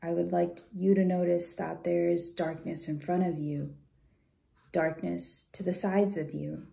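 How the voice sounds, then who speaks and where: A woman leading a guided visualization in a soft, gentle, soothing way, unhurried with pauses and at times breathy. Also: on the low side, flat delivery. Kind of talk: guided meditation, soft slow instruction.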